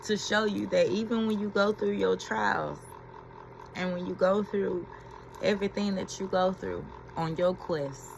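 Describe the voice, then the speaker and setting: A woman's voice: medium pitch, measured pace, gravelly, slight background noise, low-quality recording. A woman giving a reflective inspirational talk as a spiritual healing coach, repeating key phrases and laughing as she retells a story.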